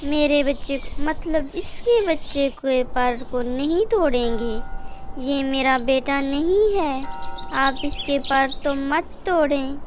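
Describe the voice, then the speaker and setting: A woman's voice: sits high, takes it slowly, faint music behind. A woman voicing a worried, loving mother sparrow in a cartoon story, speaking in an earnest, anxious way. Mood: pleading.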